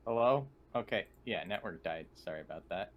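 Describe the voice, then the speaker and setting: A man's voice: lowish voice, expressive, normal speed, highs cut off. A man chatting casually as a player in an online tabletop game. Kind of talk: casual chat.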